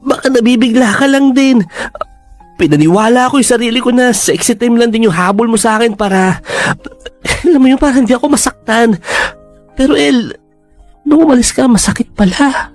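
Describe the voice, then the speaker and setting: An actor with a Filipino accent playing a hesitant young man confessing love in radio drama style, halting and pleading. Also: very high-pitched, darkish tone, faint music behind. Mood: pleading, emotional.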